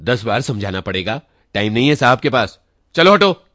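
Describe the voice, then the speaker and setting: A man voicing a gruff, curt office peon in a short story, impatiently shouting people away. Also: mid-range voice, very animated, moderate pace, dry recording, voice pushed up. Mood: impatient, irritated. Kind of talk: dramatized short story reading.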